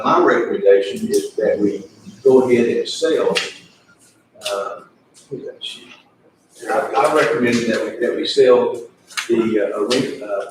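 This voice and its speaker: A man chairing an informal public meeting in a folksy, halting way, repeating himself. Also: medium pitch. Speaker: judge presiding over county commissioners meeting. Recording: echoey meeting room, distant mic, faint noise.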